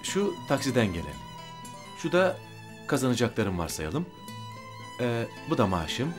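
A man's voice: mid-range voice, very animated, a little slow. An actor playing a mild, reassuring working-class father in a TV drama. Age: 50s. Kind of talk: TV drama acting. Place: indoor room in a house, on set. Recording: music under the dialogue.

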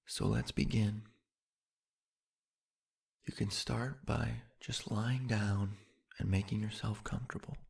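A man softly narrating a sleep hypnosis meditation in a soothing, hushed, breathy voice with long, unhurried pauses. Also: fairly low pitch, steady tone.

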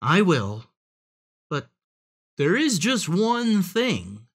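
A woman's voice: fairly low pitch, sing-song, very slow, breathy edge, voice pushed up, full character voice. A woman voicing a small, polite young male piglet in a storybook, pleading and hesitant but crafty. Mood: meekly persuasive.

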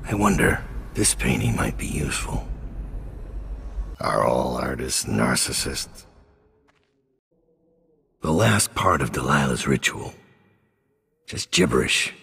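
Very deep, gravelly brooding assassin. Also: male voice, a bit bright, exaggerated voice. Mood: musing. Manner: grim, deliberate, measured. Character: brooding, world-weary middle-aged male master assassin, menacing and remorseful. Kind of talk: video game voice acting, monologue and combat lines. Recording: long reverb, music underneath.

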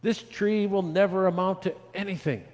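A man's voice: medium pitch, big pitch swings, measured pace, a little mellow. A man voicing a dismissive, scornful onlooker from a children's storybook, recorded in a reverberant hall. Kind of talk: children's storybook read aloud. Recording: long echoing hall reverb.

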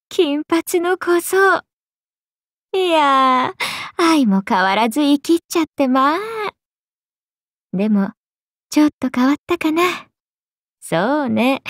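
A woman's voice: dry recording, studio quality, heavily acted. A woman voicing a playful, teasing serpent-oni goddess for a mobile game, with breezy big-sister airs and a drawling, sake-loving manner. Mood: sly, teasing. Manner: breezy, drawling, teasing, slipping into low murmurs. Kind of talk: Japanese mobile game character voice lines.

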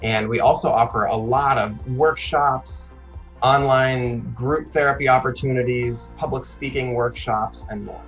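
A man who works as a clinician, explaining services in a clear, informative interview manner from a room at home. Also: medium pitch, fairly even intonation, measured pace, fairly bright tone, faint music behind, rough recording.

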